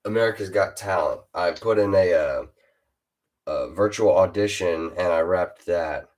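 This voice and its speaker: A teenage boy with a very deep voice, hosting a casual chat with a fellow rapper and hesitating often. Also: a little slow, a little mellow. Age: teens. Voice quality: super deep voice. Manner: hesitant, lots of uh and restarts. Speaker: host interviewing a fellow rapper.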